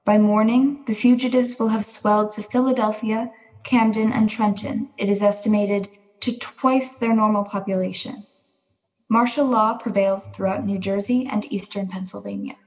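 A woman's voice: medium pitch, steady tone, normal speed, a little mellow, natural voice, rough recording. A woman playing a grave radio announcer, reading formal, solemn news bulletins in a radio play. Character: grave female radio announcer reporting an alien invasion.

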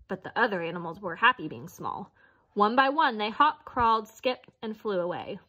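A woman's voice: mid-range voice, animated, moderate pace, bright, forceful tone, highs cut off. A woman reading a children's picture book aloud as narrator. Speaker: storybook reader.

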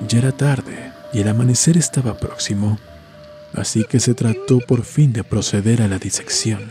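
Mellow-voiced man narrating a horror story. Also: medium pitch, normal speed. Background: music.

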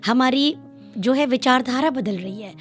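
A woman's voice: high-pitched, measured pace, faint music behind. A young woman interviewing formally and politely on a close studio microphone. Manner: polite, deferential. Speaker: radio interviewer. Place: small acoustically treated radio studio. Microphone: close studio microphone on a desk stand.

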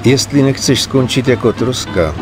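An actor playing an older, fatherly mafia adviser in a game cutscene, giving measured, stern counsel. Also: mid-range voice, close to an everyday voice. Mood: admonishing, earnest. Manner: measured, admonishing. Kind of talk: dramatic game cutscene dialogue. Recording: prominent music under the voice.

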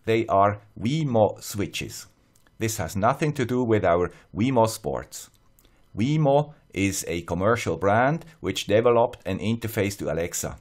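A man with a Swiss accent narrating a scripted tutorial in a measured, plain-spoken, step-by-step way. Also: lowish voice, lively intonation. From Switzerland. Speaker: host of an electronics tutorial channel.

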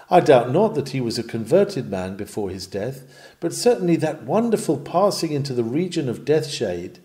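A man's voice: fairly high pitch, warm tone. A man reading a historic sermon aloud in an earnest, oratorical and rhetorical manner, with emphatic delivery.

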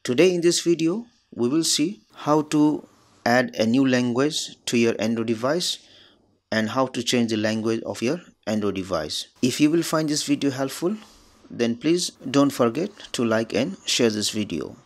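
A man's voice: mid-range voice, moderate pace. A man whose native language is Nepali, hosting his channel and explaining phone settings step by step in plain instructional narration. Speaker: tech tutorial channel host.

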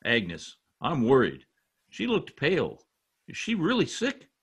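A man's voice: medium pitch, wildly swooping pitch, unhurried, fairly bright tone, breathy edge, heavily acted. A man in his sixties playing a grandiose, melodramatic, blustering husband in an old-time radio comedy over a video call. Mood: remorseful, worried. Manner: theatrical, grandiose, overwrought. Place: home office, video call. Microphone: computer mic on video call.

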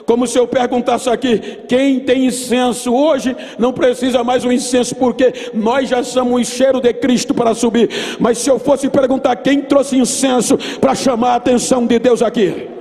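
High-pitched gravelly Brazilian preacher man. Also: little pitch movement, moderate pace, warm tone. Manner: emphatic, oratorical, storytelling. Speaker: pastor preaching at a youth Bible seminar. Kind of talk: Pentecostal sermon to a large congregation. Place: large church auditorium. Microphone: microphone on a podium stand. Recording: long hall reverb from PA system.

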